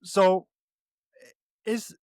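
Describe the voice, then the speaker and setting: A man's voice: very slow, no room sound. A man co-hosting a podcast who chats casually, giggles often and restarts his sentences. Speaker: podcast co-host.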